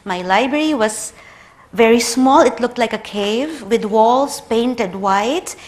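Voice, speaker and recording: Filipina woman, 30s, Tagalog native, measured pace, keynote speaker telling personal anecdotes with gentle humor. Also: on the high side. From Philippines. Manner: warm, personal storytelling with light humor. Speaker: conference speaker, librarian. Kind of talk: conference lecture with slides.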